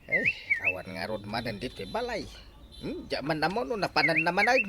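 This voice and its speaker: A man's voice: a little high, huge pitch swings, fairly quick, very noisy. An actor playing a hearty, teasing older father in an Ilocano radio drama. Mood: genial. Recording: loud music behind the voice.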